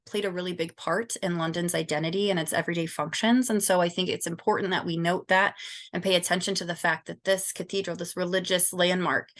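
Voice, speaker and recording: A woman's voice: on the low side, fairly bright tone. A young woman from Southern California giving a class presentation, informal and full of ums and likes. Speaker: student presenter.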